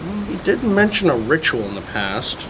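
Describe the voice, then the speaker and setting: Surf instructor from a spooky comedy sketch, played by a man: higher-pitched, very animated, hammy and musing, slight background noise. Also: moderate pace, rough recording. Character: disbanded monster gang member turned laid-back surf instructor. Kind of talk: campy comedy sketch acting.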